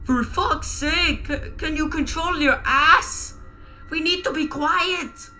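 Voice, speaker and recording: A woman voicing a panicky, hot-tempered cartoon supervillain man with an odd put-on accent, sweary and forceful. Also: medium pitch, sing-song, moderate pace, faint music behind. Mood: furious shrieking. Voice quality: shrieking, forceful.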